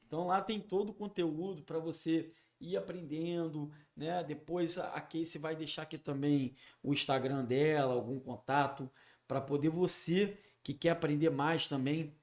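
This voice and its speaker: A man's voice: a little high, fairly even intonation, moderate pace, darkish tone. A man hosting a casual interview, talking in a loose conversational way with many fillers. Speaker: host.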